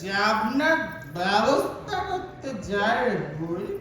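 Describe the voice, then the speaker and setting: A man playing a surly drunkard son, rough and accusing, in an amateur stage comedy. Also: high voice, very animated, slow pace, exaggerated voice. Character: surly drunkard son demanding money from his old mother. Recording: echoey hall, distant mic, faint noise.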